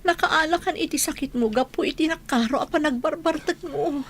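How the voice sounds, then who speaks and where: Devoted wife, female actor, very high and fretful, pleading tone, Ilocano radio drama with slight background noise. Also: brisk, natural voice. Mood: grieving, pleading. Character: worried, devoted wife pleading with her sick husband.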